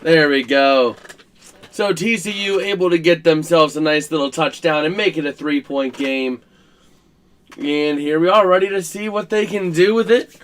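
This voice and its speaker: A man hosting a casual live stream about a college football game, his voice scratchy and rough, chatting to viewers with plenty of fillers. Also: fairly high pitch, moderate pace. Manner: chatty, filler-heavy, talks to chat while working the stream.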